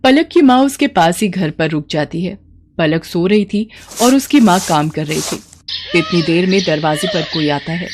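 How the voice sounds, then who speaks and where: A woman's voice: on the low side, expressive, normal speed, slight background noise. A woman reading a scripted children's story as its storyteller.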